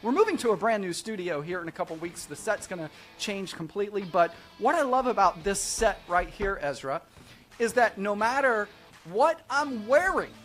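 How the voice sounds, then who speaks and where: Animated salesman-like male show host. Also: high voice, moderate pace, soft background music. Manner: upbeat salesman-like, repeated "right?" tags. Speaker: host of a real estate show, realtor. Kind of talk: live show monologue and casual banter. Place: small studio set at a desk.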